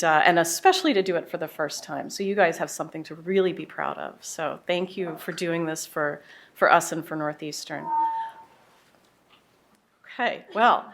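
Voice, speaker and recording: Loud, lively woman speaking at a podium. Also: lowish voice. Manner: lively, conversational, self-aware. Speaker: keynote speaker, professor. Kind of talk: conference talk. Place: conference hall, at a podium. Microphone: podium microphone.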